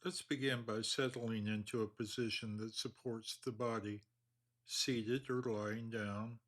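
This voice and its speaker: A man leading a guided meditation, speaking slowly and calmly with long pauses. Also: on the low side. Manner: slow, calm, measured delivery with long pauses.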